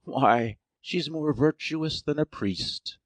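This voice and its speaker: A man's voice: on the high side, takes it slowly, dry recording. A man playing a poor, earnest, emotional artist with a dramatic, exclaiming delivery in an audiobook. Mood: moved, ashamed. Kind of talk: dramatic audiobook character reading.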